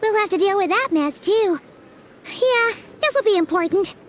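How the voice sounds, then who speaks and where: A woman voicing a small, eager, childlike fairy companion in a video game, chirpy and excitable. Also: very high voice, measured pace, faint music behind.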